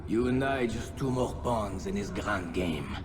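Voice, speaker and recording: A dying crusader bad guy in a game, lowish voice, sardonic n theatrical, music under it. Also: expressive, measured pace. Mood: bitter, taunting. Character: dying villainous crusader lord, bitter and mocking. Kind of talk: dramatic video game acting.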